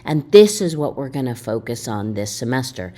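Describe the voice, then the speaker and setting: Animated low-voiced woman lecturing. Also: moderate pace. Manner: animated, emphatic, asks the listener questions. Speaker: college instructor. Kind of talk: recorded video lecture.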